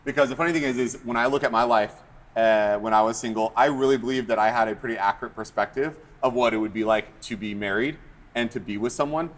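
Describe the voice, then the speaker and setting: Joking, animated American man hosting. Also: mid-range voice, quick pace. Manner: animated and joking, lots of fillers. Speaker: podcast host. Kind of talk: casual chat.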